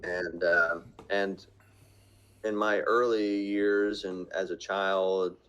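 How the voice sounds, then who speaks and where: A man's voice: low-pitched, level tone, takes it slowly, fairly bright tone, lo-fi sound, slight background noise. A man from central Vermont, a podcast guest, chatting in an easygoing, rambling way. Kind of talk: casual chat.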